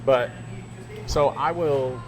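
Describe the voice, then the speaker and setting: A middle-aged man, a radio DJ, chatting casually and telling stories with animated asides. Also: medium pitch, extremely slow, crowd noise. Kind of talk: casual podcast chat. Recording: noisy background.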